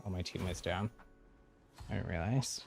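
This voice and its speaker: A man's voice: deep voice, moderate pace, gravelly, darkish tone. A man livestreaming a video game with casual commentary, chatting to viewers in mild exclamations. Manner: mild exclamations, laughs often. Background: faint game music.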